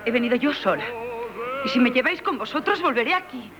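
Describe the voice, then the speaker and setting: An actress with a Castilian Spanish accent playing a defiant, lovestruck young singer in 1970s radio theatre. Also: female voice, sits high, expressive, fast, over music. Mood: defiant passion. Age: young adult. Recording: dull 1970s radio recording, crowd behind.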